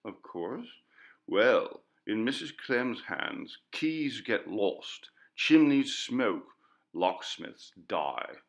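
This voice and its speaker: Guy reading as a genteel wry lady in a ghost story, slow and very up-and-down. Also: lowish voice, very animated, creaky, pitched above the performer's own voice, exaggerated voice. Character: Lady Jane, uneasy, wry English gentlewoman. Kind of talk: audiobook character dialogue.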